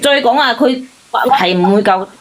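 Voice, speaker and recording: A woman's voice: a little high, a little slow, over a noisy crowd. A woman caller talking about her relationship in an insistent, defensive way, in casual emotional chat. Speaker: caller sharing a relationship problem.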